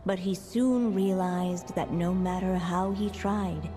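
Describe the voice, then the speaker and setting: A woman voicing a storybook narrator in a video game, telling a fable in a measured way. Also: fairly low pitch, fairly even intonation, warm tone. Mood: solemn. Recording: long reverb on the voice. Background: music.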